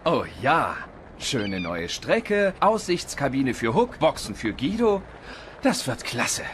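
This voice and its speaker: An actor voicing a confident, upbeat, friendly young race car champion in an animated film dub, casual and cheerful. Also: male voice, medium pitch, slow pace. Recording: faint background noise.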